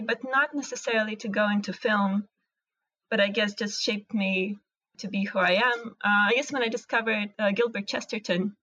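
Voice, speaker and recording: A woman's voice: mid-range voice, fairly bright tone, no echo, lo-fi sound. Russian-accented woman, thoughtful and dry. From Latvia and Russia. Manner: thoughtful, searching for words. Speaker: interview guest, animation technical director. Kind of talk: casual interview conversation.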